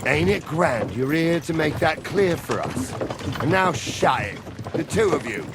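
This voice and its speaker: Casting: scornful medieval officer, sarcastic, varied intonation, game scene amid loud clattering ambience. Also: male voice, fairly high pitch, animated, measured pace, noisy background. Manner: sarcastic, blunt. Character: stern, sardonic male medieval captain, scornful of criminals. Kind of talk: video game drama acting. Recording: loud outdoor cart and hoof ambience.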